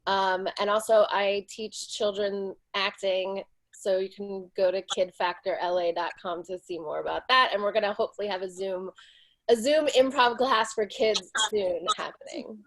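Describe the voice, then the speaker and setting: A woman's voice: mid-range voice, steady tone, moderate pace. A woman who teaches acting to children, talking casually and with self-deprecating humor on a video call.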